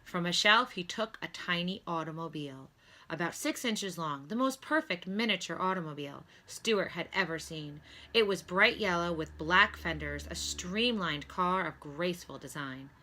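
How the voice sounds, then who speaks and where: A woman reading a children's story aloud in an expressive narrating style, like a teacher to pupils. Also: on the low side, normal speed.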